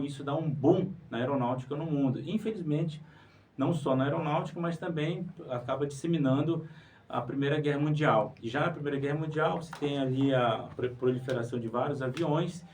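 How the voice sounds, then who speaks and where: A man's voice: mid-range voice, darkish tone. A man from northern Brazil giving an interview, explaining with rhetorical questions and an emphatic, teacherly manner. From Pará, Brazil. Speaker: guest, writer and museologist. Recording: distant mic with faint noise.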